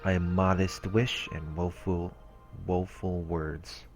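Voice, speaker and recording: A man's voice: low-pitched, flat delivery, slow. A man reading visual novel text aloud as a let's play host, in a narrating style. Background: soft game music.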